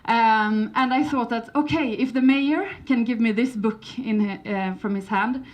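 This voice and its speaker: A woman's voice: a little high, unhurried. A woman from Sweden with a Swedish accent, giving a conference presentation in English, hesitant, with many fillers and restarts, and a light humour. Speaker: conference speaker.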